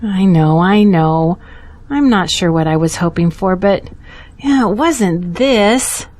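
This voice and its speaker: An actress playing a gentle, hopeful churchgoing woman in an audio drama, with a wistful way of talking. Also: lowish voice, slow. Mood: disappointed, wistful.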